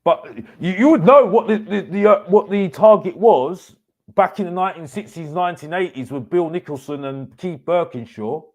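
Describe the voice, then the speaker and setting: A man's voice: high voice, moderate pace, a little mellow. Emphatic man hosting a football fan stream. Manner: emphatic, repeats phrases for effect. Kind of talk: live fan commentary, casual opinion rant.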